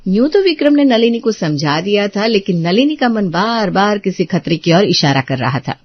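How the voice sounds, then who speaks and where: Female narrator, scripted storytelling, mid-pitched, very dry, dull muffled recording. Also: big pitch swings, normal speed, no room sound.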